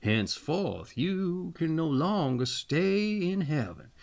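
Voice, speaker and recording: A man's voice: a little high, very animated, slow pace, gravelly, darkish tone, full character voice. A man voicing a stern male ruler of heaven in a fairy tale, grand, scolding and emphatic.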